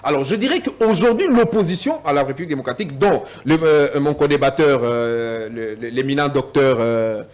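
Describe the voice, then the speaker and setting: A man's voice: mid-range voice, lively intonation, moderate pace, hardly any pauses. A man with a Congolese French accent, a guest in a TV political debate, mixing French with Lingala and stumbling over repeated words.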